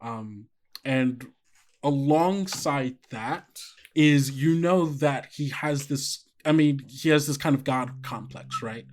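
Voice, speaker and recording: A man's voice: mid-range voice, takes it slowly, a little hiss or hum. A man speaking casually and agreeably as the game master of a tabletop roleplaying game. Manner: agreeable, conversational, often affirming players.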